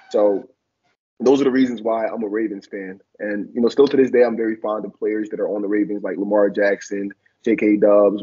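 A man's voice: on the low side, warm tone. A young man from Liberia raised in Philadelphia, a former college football player, talking reflectively with many fillers as a guest on a video call. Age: young adult. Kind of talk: casual interview on a video call. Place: small room indoors. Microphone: video call, laptop camera. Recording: dull lo-fi video call audio.

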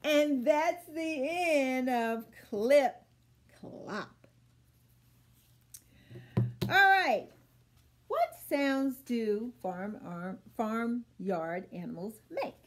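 A middle-aged woman hosting a children's storytime, speaking expressively and deliberately for young listeners. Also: a little high, animated. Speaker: children's librarian, storytime host. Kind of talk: children's storytime, read-aloud and instruction. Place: small indoor room.

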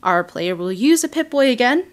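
A woman hosting a game video, giving an excited, chatty, emphatic voice-over breakdown. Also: fairly high pitch, normal speed. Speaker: video game channel host. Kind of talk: game trailer breakdown voice-over, semi-scripted. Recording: voice-over with background music. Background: music.

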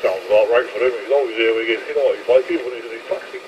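A man's voice: medium pitch, rapid-fire. A man chatting chummily and a little hesitantly through a handheld two-way radio. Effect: heard through a two-way radio. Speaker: amateur radio operator. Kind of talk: casual two-way radio chat. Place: outdoors, walking along a street. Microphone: handheld transceiver. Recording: dull, noisy handheld radio transmission.

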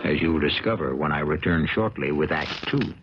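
A man's voice: very deep voice, brisk. A man hosting and narrating a radio mystery in an ominous, theatrical storytelling manner. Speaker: host and narrator. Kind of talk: dramatic scripted radio narration. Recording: muffled, crackly 1970s radio recording. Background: loud dramatic music.

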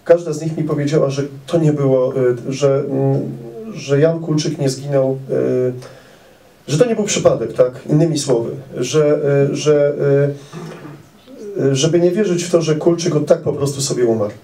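A middle-aged man, an author at a public meeting, answering audience questions in a measured way with frequent hesitations, speaking into a handheld mic. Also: a little high, mellow. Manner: measured, many "e" fillers, precise. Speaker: author at a meet-the-author event. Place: indoor event hall with audience. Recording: roomy hall sound, camera some distance off.

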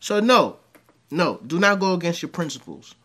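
A man's voice: high-pitched, normal speed. A man, telling crude stories in a home-studio reaction commentary. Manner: animated, rambling storyteller with crude jokes. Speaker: commentary host. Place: dim home room with green light.

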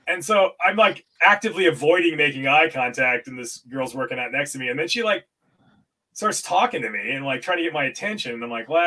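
A man's voice: mid-range voice, bright, forceful tone. A man in his fifties, a reptile breeder guesting on a video-call podcast, telling stories in a laughing, self-deprecating way. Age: 50s. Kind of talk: casual chat. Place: home room with green screen. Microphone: studio mic on video call.

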